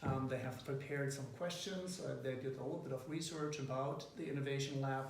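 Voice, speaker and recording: A man's voice: mid-range voice, fairly even intonation, fairly quick. A man introducing an interview project to a company team, talking hesitantly with many fillers. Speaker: interviewer leading a student group. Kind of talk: casual meeting introduction. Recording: echoey room, far from the mic, faint noise.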